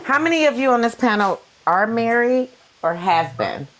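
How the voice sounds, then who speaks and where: A woman's voice: a little high, lively intonation, moderate pace, fairly bright tone, a little hiss or hum, low-quality recording. A woman hosting a live stream panel, putting brief, direct questions to her guests. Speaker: host.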